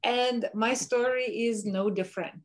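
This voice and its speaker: Thoughtful Bulgarian-born woman on a video call. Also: on the high side. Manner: thoughtful, measured, with frequent fillers. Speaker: professor, interview guest. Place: home room with window blinds.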